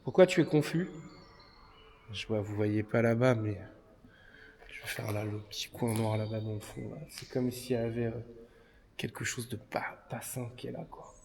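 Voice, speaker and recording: A man's voice: mid-range voice, normal speed, gravelly. Hesitant man in an echoey derelict hall. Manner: hesitant, many fillers, drops to hushed murmurs. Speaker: paranormal investigator. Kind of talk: exploration vlog, calling out to spirits. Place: large abandoned industrial building, indoors. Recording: echoey hall with faint noise.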